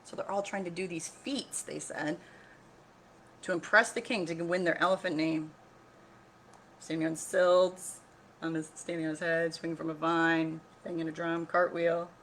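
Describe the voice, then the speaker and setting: A woman reading a picture book aloud as a teacher, expressive and instructive, often pausing to put questions to listeners. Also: on the low side, a little hiss or hum. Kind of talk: read-aloud lesson for children with questions to listeners.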